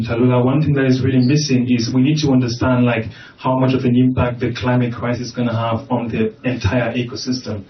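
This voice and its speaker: Man from Cameroon speaking in an echoey hall. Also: mid-range voice. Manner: earnest, with restarts and fillers. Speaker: panelist. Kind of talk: conference panel remarks. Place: conference hall. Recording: echoey, muffled, distant mic with faint noise.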